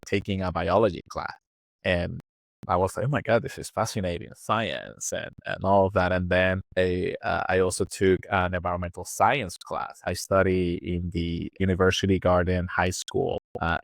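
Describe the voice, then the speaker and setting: A man in his thirties with a Puerto Rican Spanish accent, his voice sitting very low. He answers interview questions casually, stopping and restarting often with many fillers. The sound is dry and close to the mic. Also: steady tone, moderate pace, creaky, dry recording. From born in Venezuela, raised in Puerto Rico. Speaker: guest, environmental engineer.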